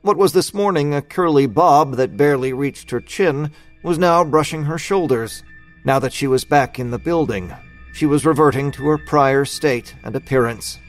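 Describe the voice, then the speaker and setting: A man's voice: on the high side, moderate pace, soft background music. Male narrator of a scripted story.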